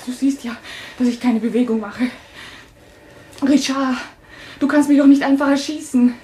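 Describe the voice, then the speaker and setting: Fairly high female voice, actress as distressed accusing wife, dramatic, German thriller radio drama. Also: fairly even intonation, normal speed, warm tone, a little hiss or hum, close to an everyday voice. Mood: desperate, defiant. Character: frightened, accusing wife, victim of a cruel staged nightmare.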